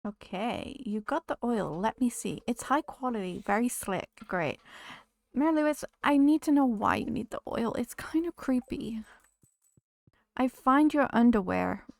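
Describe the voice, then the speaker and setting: A woman's voice: a little high, expressive, measured pace, gravelly, a little mellow, no echo. A woman streaming a game, chatting casually with viewers while losing her voice. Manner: chatty, greets viewers, talks to game characters. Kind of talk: casual live-stream chat while playing.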